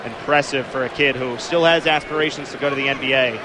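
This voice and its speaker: A man's voice: medium pitch, steady tone, fast. A young adult man calling live sports play-by-play as the announcer. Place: sports venue commentary position. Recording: very noisy live sports venue broadcast.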